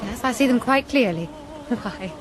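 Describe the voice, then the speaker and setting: A woman's voice: fairly high pitch, animated, unhurried. An actress playing a refined, composed and curious lady passenger in a radio drama, speaking formally. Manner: genteel, articulate, formal. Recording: noisy ship ambience behind voice.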